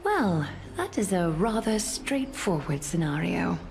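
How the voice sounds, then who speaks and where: An actress playing a serene, cryptic and regal seer in a fantasy game, with a formal, poised delivery. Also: female voice, fairly low pitch, sing-song, a little slow, creaky, darkish tone, slight background noise, exaggerated voice. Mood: gently amused. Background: background music.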